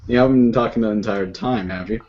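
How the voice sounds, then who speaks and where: A man's voice: fairly low pitch, a little fast, darkish tone. A man co-hosting a casual fan chat, hesitant and stammering with many ums. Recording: lo-fi audio with faint noise.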